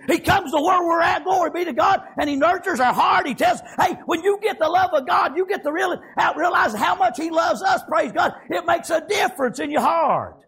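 A man's voice: very high voice, lively intonation, a little fast, vocal fry, few pauses, a bit bright, shouting, some chatter behind. A man with a Southern American accent preaching a fervent revivalist sermon in a folksy way, peppered with exclamations of praise. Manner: folksy, colloquial.

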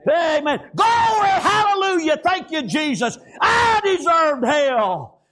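Man, preacher, very high voice, lively swooping pitch, shouted rhythmic sermon. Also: slow. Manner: shouting, rhythmic preaching with frequent "praise God" and "amen".

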